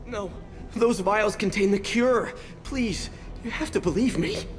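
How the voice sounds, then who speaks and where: An actor playing a naive, idealistic young clinic volunteer in a video game, earnest and hesitant. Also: male voice, high-pitched, measured pace, faint music behind. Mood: pleading.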